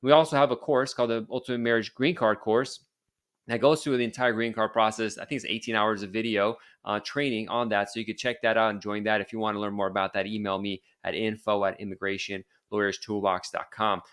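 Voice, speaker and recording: A man's voice: fairly low pitch, fairly even intonation, a little fast, fairly bright tone. A male podcast host talking casually, rambling with many fillers and restarts as he promotes courses and interviews a guest.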